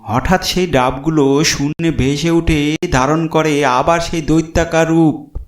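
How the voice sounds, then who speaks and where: A man's voice: on the high side, fairly even intonation, a little slow. A man narrating a scripted horror story in a dramatic storytelling style. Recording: roomy echo, loud music. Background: loud background music.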